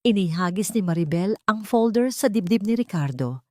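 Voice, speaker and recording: A woman's voice: medium pitch, animated, normal speed, darkish tone, no echo, clean studio sound. A woman reading a scripted story as a dramatic narrator.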